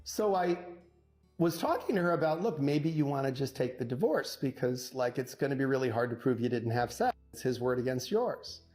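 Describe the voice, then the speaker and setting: A man tells a story conversationally, like a lawyer relishing a courtroom tale. He acts out both sides of the dialogue and pauses for effect, with music faintly behind his mid-pitched voice. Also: animated, darkish tone. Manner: punchy, acts out quoted dialogue with dramatic pauses. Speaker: divorce lawyer telling an anecdote.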